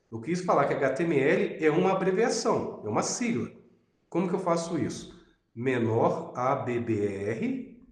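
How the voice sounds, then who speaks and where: A Brazilian man with a Minas Gerais accent teaching web programming in a relaxed lecture, often tagging sentences with "né?". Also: fairly high pitch, roomy sound. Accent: Minas Gerais Portuguese. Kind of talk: recorded lecture over slides.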